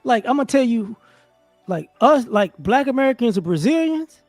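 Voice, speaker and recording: High-voiced man, slangy and animated. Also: moderate pace, no echo, faint music behind. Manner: slangy, animated storytelling with many restarts. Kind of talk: casual discussion. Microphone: remote call setup.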